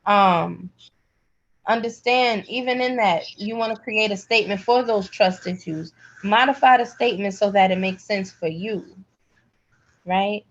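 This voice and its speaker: A woman's voice: medium pitch, a little slow. A woman teaching a live spiritual healing class in a direct, emphatic, colloquial way. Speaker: class instructor, pendulum energy healer. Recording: slightly dull, lo-fi call audio.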